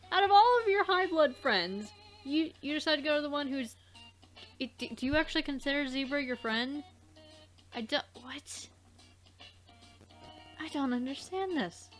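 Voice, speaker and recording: Woman bantering over game music. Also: high-pitched. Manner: swears freely, exasperated banter. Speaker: let's player. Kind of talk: casual game commentary with a co-host.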